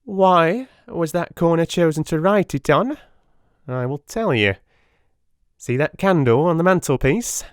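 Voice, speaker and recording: Man acting out a pompous, high-pitched, excitable little detective. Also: a little slow, clean tone, no echo, studio quality. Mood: boastful, insistent. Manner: boastful, triumphant, showman-like. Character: pompous, excitable little male police detective, self-satisfied. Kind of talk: dramatic audiobook character acting.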